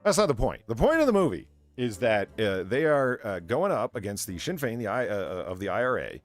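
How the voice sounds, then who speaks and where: Low-pitched man hosting, rambling and halting. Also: sing-song, soft background music. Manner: rambling, halting, stop-and-start storytelling. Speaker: show host reading out donations. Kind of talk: casual, profane banter on a livestream.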